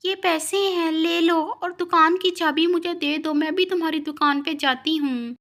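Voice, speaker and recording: A woman's voice: very high-pitched, fairly even intonation, moderate pace, a bit bright. Woman voicing a greedy, bossy cartoon bird. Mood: sweet. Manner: scolding, rude.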